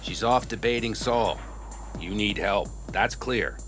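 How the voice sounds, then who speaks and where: A man's voice: on the low side, takes it slowly, a bit bright. A man playing an easygoing, loyal nomad veteran in a video game, with a folksy, plain-spoken manner. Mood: frank, grim. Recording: music behind the voice.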